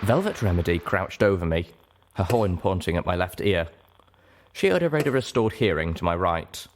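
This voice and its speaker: A man reading fiction aloud as a dramatic first-person narrator, measured and expressive. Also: low voice, noisy background. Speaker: audiobook narrator.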